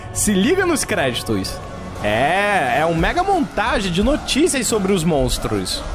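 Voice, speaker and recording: A man with a Brazilian Portuguese accent narrating a film review in a casual, jokey, enthusiastic way. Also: high-pitched. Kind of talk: scripted video narration.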